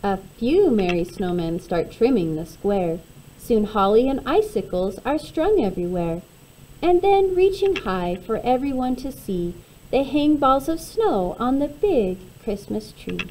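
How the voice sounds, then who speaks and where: A woman reads a children's storybook in rhyme, her voice a little high and softly mellow. She gives the verse expressive, measured phrasing. Faint noise sits behind the voice. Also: poor audio. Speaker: storybook reader. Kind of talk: read-aloud of a rhyming children's picture book.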